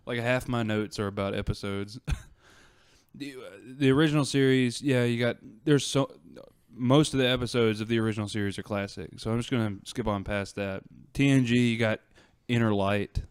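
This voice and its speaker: A man's voice: medium pitch, normal speed, smooth, no echo, clean studio sound. A man talking casually as a panelist on a podcast. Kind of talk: casual chat. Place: podcast studio.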